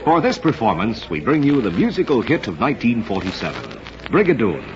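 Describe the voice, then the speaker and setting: A man's voice: lowish voice, wildly swooping pitch, a little fast, a crowd in the background. A man hosting an old radio program, announcing from a script in a formal, theatrical showman's manner on a muffled vintage recording. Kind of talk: 1940s-50s radio announcing, scripted narration.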